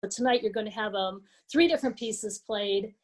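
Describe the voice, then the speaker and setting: A woman's voice: mid-range voice, moderate pace, a little mellow. A woman giving an informal, proud and appreciative live announcement as presenter of a student program. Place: live before an audience at a student performance.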